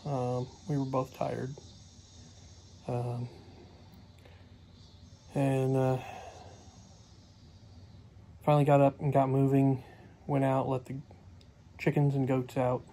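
Middle-aged man, casual vlog recap, gravelly voice, monotone delivery, lots of filler words, phone camera up close, faint hiss. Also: mid-range voice, slow pace, long pauses. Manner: rambling, many fillers. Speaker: homestead vlogger. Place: small cluttered room indoors. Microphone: phone camera close to face.

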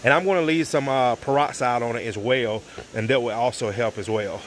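A man's voice: medium pitch, fairly quick, noisy background. A man who cleans floors, talking casually to viewers as he films a job in an unfinished basement on a handheld phone. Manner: relaxed, talks to viewers with fillers. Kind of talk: casual work vlog walkthrough. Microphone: handheld phone camera.

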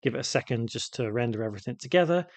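A man narrating an unscripted software tutorial, casually thinking aloud as he demonstrates each step. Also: medium pitch, expressive, racing, dry recording. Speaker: tutorial presenter.

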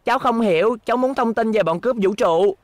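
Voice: mid-range voice, quick pace, no room sound, close to an everyday voice. An actor voicing a polite, earnest little robot boy hero in a cartoon dub. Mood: puzzled, earnest. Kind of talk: Vietnamese cartoon dubbing. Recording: background music under dubbed dialogue.

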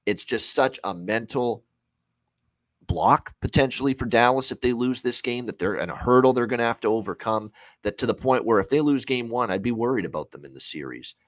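A man's voice: medium pitch, lively intonation, a little fast, dry recording. A man in his thirties giving opinionated, unscripted hockey betting analysis, stressing points by emphatic repetition. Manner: emphatic, repeats phrases for stress. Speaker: sports betting analyst on a show. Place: indoor room at home, on a video call.

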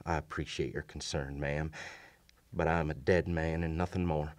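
A low, deep male voice as a doomed gentleman soldier in a radio play. He is grave and formal, with old-fashioned courtesy, telling his haunted tale as both narrator and character. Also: fairly even intonation, moderate pace. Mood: grave, resigned. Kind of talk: radio drama acting and narration. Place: small indoor radio studio. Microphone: shared vintage-style studio mic on stand.

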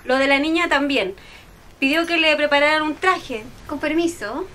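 A woman's voice: high-pitched, moderate pace, background noise, lightly acted. A woman with a Chilean accent playing a deferential, folksy housemaid in a radio comedy. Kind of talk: radio play comedy acting.

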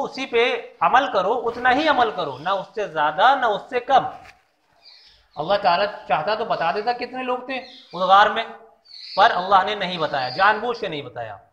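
A man giving an informal religious talk in a high, bright voice, explaining each point and coming back to the lesson again and again. The recording sounds dull and lo-fi, with a roomy echo around him. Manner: explanatory, repeats key lessons, asks rhetorical questions. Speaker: Islamic preacher. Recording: echoey, dull lo-fi room sound.

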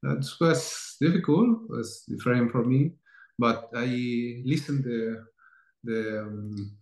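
A man in his thirties whose first language is Mixtec, speaking English haltingly and searching for words as a producer in a festival Q&A. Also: medium pitch, a little mellow. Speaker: film producer and actor. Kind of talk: festival Q&A over video call. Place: home room, indoors. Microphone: video call. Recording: roomy video call audio.

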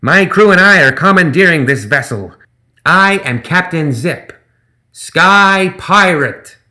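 A man's voice: a little high, expressive, a little slow, pushed and bright, pitched above the performer's own voice. A man voicing Captain Zip, a pompous male gremlin sky pirate who makes grandiose, self-important proclamations.

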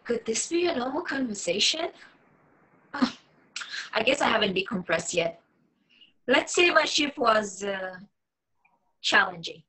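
A woman's voice: fairly high pitch, expressive, a little slow, long pauses, pushed and bright, husky, exaggerated voice, rough recording. A woman reading the part of a wary, lonely, sardonic online dater in her late twenties, hesitant and wry, in a film table read. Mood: guarded, casual. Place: small room at home, video call. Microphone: computer mic on a video call.

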